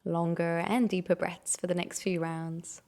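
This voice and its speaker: A woman leading a guided meditation, giving gentle, unhurried instructions and soft invitations. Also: fairly low pitch, flat delivery.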